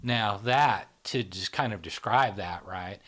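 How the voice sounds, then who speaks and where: Middle-aged man, low-ish voice, teacherly explainer who keeps asking "right?". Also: measured pace, a bit bright. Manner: teacherly, checks in with "right?" often. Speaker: psychology channel founder, explaining. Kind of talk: explanatory conversation.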